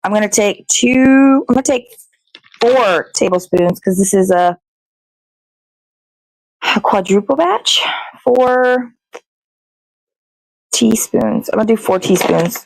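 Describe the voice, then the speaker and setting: A woman's voice: mid-range voice, a little slow, creaky, long pauses, dry recording, low-quality recording. An American woman hosting casually from her kitchen, chatting in an informal, rambling, sweary way as she cooks. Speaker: host of a morning show. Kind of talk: casual chatty narration while cooking. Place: indoors in a home kitchen.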